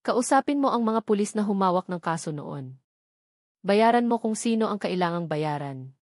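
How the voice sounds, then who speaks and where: A woman's voice: mid-range voice, lively intonation, moderate pace, darkish tone, no echo, studio quality, close to an everyday voice. A Filipino woman voicing a serious wealthy man haunted by suspicion, controlled and insistent, in a narrated story. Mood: grave, commanding. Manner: controlled, grave, pleading.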